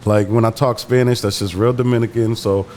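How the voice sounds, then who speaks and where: A young man from Boston, guesting on an interview, speaking in a lowish voice with a faint hum of room ambience behind him. He tells stories in a relaxed, slangy way with playful asides. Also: a little fast. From Massachusetts, raised in Boston. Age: young adult. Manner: laid-back slangy storytelling, playful asides. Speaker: rapper guest. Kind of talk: casual interview storytelling.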